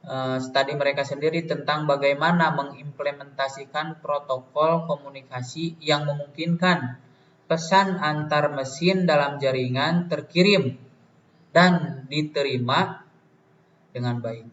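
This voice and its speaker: A young man giving a student presentation from prepared notes in a halting manner. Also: on the high side, steady tone, fairly bright tone. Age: young adult. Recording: dull, distant, roomy, faint noise.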